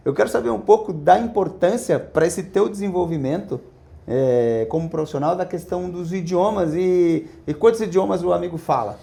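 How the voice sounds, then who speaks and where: A man's voice: high voice, moderate pace, creaky, mellow. A man hosting an interview podcast, upbeat and speaking directly to his listeners. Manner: upbeat, addresses the audience directly. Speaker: podcast host.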